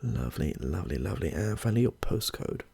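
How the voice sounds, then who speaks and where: Man playing a soft-spoken, deep-voiced British therapist. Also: big pitch swings, quick pace, gravelly, husky, exaggerated voice. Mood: chatty, polite. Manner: gentle, reassuring, murmuring small approvals. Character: polite, chatty, slightly odd male therapist greeting a client. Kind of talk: soft-spoken ASMR roleplay.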